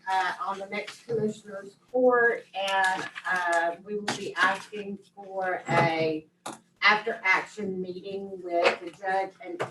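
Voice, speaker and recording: Distant and echoey, with clear background noise, a woman gives an informal report at a public meeting. Her pitch is average and her phrasing is casual and loose. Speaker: event volunteer reporting to a county meeting. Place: county meeting room. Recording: distant, echoey, noisy room microphone.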